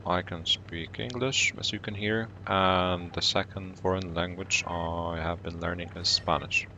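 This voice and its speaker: A man from Poland with a Polish accent, whose first language is Polish, calmly commenting on his game for a live stream and greeting viewers in chat. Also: low voice, a little hiss or hum. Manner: calm, unhurried, greets chat viewers between plays.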